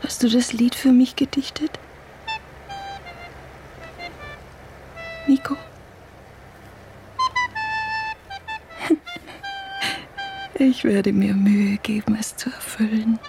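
A woman's voice: fairly high pitch, moderate pace, creaky, dark tone, soft background music. An actress in a German radio drama playing a cunning, vengeful woman who talks in a taunting, intimate, theatrical way. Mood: tender, menacing. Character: vengeful cunning woman restorer, playful and obsessive.

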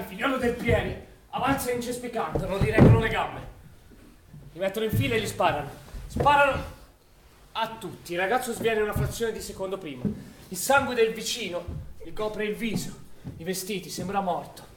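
An actor playing a grave narrator of a wartime tragedy, with theatrical delivery that moves between hushed and forceful. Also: male voice, high voice, moderate pace, natural voice. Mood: urgent, intense. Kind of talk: dramatic scripted stage narration. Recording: echoey, distant recording with faint noise.

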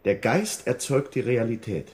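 An actor playing a worldly, persuasive doctor in a radio drama, insistent and given to explaining. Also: male voice, mid-range voice, big pitch swings, moderate pace, a little mellow, natural voice. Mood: grave, knowing.

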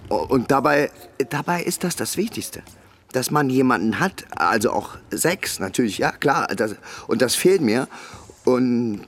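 A man's voice: medium pitch, expressive, measured pace, slightly rough. A man in his thirties playing an awkward, insecure soldier in a radio drama, hesitant and stammering. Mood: embarrassed, hesitant. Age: 30s. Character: awkward, insecure young soldier, boat driver, aged thirty-one.

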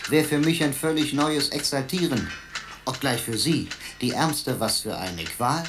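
Ein Mann spielt in einem Hörspiel einen dichtenden Computer, der gemessen und pathetisch Verse deklamiert. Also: male voice, mid-range voice, background noise. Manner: declamatory, measured verse delivery. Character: versifying computer, a male machine reciting bombastic, amorous poetry. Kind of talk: declaimed verse in radio drama.

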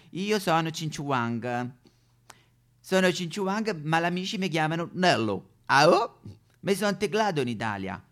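A male comedian plays a cheerful immigrant tycoon in a comic stage monologue. He brags loudly. The voice is raised in pitch and very animated. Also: exaggerated voice. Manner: loud, bragging. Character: boastful, cheerful male Chinese immigrant tycoon settled in Rome.

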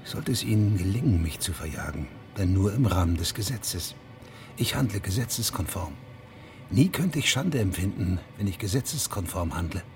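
An actor playing a dogged, formal middle-aged judge in a German radio drama, measured and unyielding. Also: male voice, low-pitched, expressive, a little mellow, soft background music. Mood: firm, measured.

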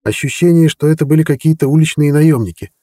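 A man reading an audiobook voicing a blunt young woman warrior who talks frankly and briskly. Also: fairly high pitch, dark tone, dry recording, studio quality, voice pushed up, lightly acted. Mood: recounting. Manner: brisk, frank and matter-of-fact.

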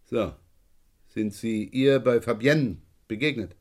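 A shrewd police inspector in a radio crime drama. His medium-pitched voice probes with wry, pointed questions and a sardonic edge. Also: slow pace, a little mellow. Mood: shrewd, needling.

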